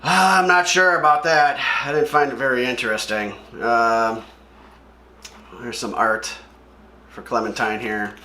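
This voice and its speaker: A man's voice: medium pitch, fairly even intonation, measured pace, sharp tone. Man giving casual commentary in echoey room. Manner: describes panels offhand, opinionated. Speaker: comic read-along host. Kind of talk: casual read-aloud commentary.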